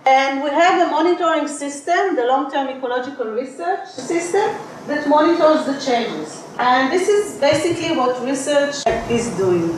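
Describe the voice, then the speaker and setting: High-voiced woman, distant and echoey. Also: expressive, moderate pace, few pauses, a little hiss or hum. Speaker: educator. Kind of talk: explanatory talk. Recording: distant, very echoey, music underneath.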